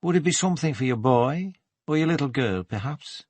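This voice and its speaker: A man voicing a friendly, teasing Geordie woman in her twenties, with a lilting, slightly husky voice, in an audiobook. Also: on the high side, expressive, fairly quick, a little mellow, no echo. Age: 20s. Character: young shop woman, teasing, friendly, chatty.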